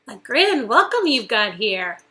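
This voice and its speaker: A woman's voice: a little high, very animated, measured pace, sharp tone. A woman reading game dialogue aloud in a casual, playful way, with a teasing, flirty manner. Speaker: co-reader performing a visual novel game.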